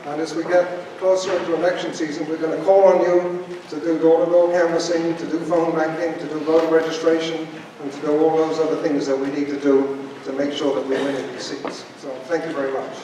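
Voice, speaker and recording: An older man with a Norwegian accent making measured announcements at a podium with a handheld mic. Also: fairly high pitch, flat delivery. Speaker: campaign organizer. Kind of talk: announcements to an audience. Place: large school gym, indoors. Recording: echoey gym from far off, loud crowd noise.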